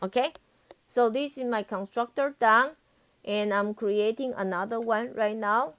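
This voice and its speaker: A woman's voice: on the high side, a little slow, a bit bright. A woman teaching a live programming lesson as a professor, repeating herself and often checking that students understand. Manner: repetitive, frequently checks for understanding.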